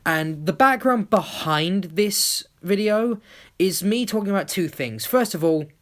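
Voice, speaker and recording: Casual YouTuber, mid-pitched voice, enthusiastic and rambling, informal voice-over delivery. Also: lively intonation, a little slow. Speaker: YouTube channel creator.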